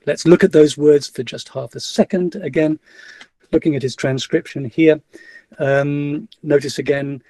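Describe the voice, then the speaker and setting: Middle-aged male academic, mellow tone, hesitant delivery, dry webinar sound. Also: medium pitch, husky, dry recording. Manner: hesitant, many fillers and restarts. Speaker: guest lecturer. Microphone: video call.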